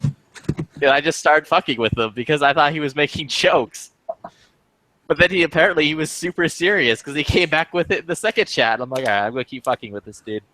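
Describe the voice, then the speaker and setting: A man's voice: on the high side, animated, a little fast, sharp tone, dry recording. A man in casual group chat, telling stories and laughing often.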